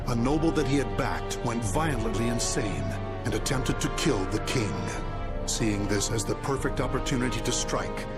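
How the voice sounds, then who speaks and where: A man giving formal, measured scripted narration for a game story. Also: medium pitch.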